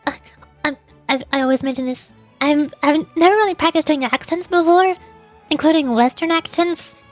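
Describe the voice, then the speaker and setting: A woman giving casual, playful, rambling commentary as she streams a game and does amateur voice acting. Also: sits high.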